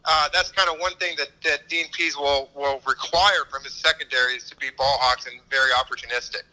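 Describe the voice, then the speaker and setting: A man's voice: medium pitch, fairly even intonation, fairly quick, pushed and bright, rough recording. A man co-hosting a casual football discussion as a former player, speaking conversationally from experience. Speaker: co-host, former pro football punter.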